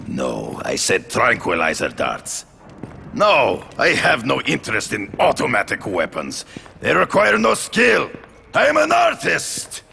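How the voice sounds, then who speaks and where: Menacing hunter, heavily creaky growl, dramatic swings in pitch, formal, video game drama. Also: male voice, wildly swooping pitch, sharp tone, a little hiss or hum, full character voice. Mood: indignant. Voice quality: gravelly, growling. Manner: formal, deliberate, theatrical. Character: imperious big-game hunter, proud, cold, menacing man.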